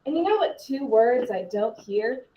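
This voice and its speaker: A woman's voice: a little high, big pitch swings, moderate pace. A young woman playing a blunt, indignant teenage girl in a live scene, sarcastic and confrontational in her slangy delivery. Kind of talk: live duo scene performance. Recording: roomy, distant, dull microphone.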